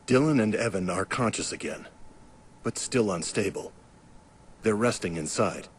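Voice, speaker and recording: A man's voice: on the low side, moderate pace, slight background noise, close to an everyday voice. An actor playing a grave, stoic man in a video game, speaking tersely.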